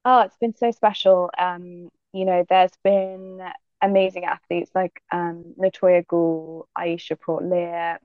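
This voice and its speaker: A young woman athlete from Jamaica, answering interview questions in a relaxed, thoughtful way with many fillers. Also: lowish voice, slow, dry recording, narrow, tinny audio. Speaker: guest athlete.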